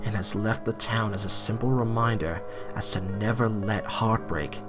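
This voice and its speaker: A man narrates an audio drama in a somewhat low voice. His delivery is scripted storytelling, with music clearly playing behind him. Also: moderate pace.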